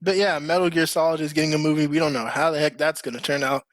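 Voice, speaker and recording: A man's voice: a little high, steady tone, fast, background noise. A man hosting a casual, slangy group chat as a podcast host. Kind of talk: casual group chat about games and movies.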